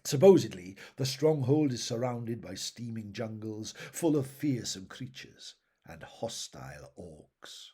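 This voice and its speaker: A man reading fantasy fiction aloud as a narrator, in a measured, dramatic storytelling style with phrases that tail off softly. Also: lowish voice, big pitch swings, breathy edge.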